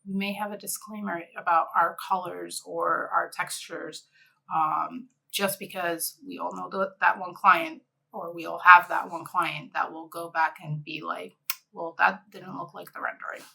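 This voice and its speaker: A woman's voice: fairly low pitch, little pitch movement, unhurried, gravelly, sharp tone, husky. A middle-aged woman from Egypt, an interior designer presenting a conference session in a conversational way with frequent fillers.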